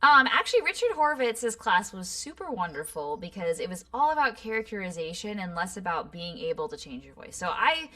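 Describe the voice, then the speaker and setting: A woman's voice: medium pitch, sing-song, fairly quick, hardly any pauses, pushed and bright. A woman from Los Angeles, a voice actor, answering workshop questions in a chatty, explanatory way. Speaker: voice actor guest. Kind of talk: online workshop Q&A.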